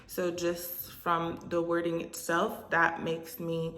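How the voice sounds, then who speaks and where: Woman from Hispaniola, Haitian Creole native speaker; lowish voice, slow and halting delivery, echoey room. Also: monotone, a bit bright. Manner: halting, many pauses and filler sounds. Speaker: religious vlogger. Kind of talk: direct-to-camera prophetic message.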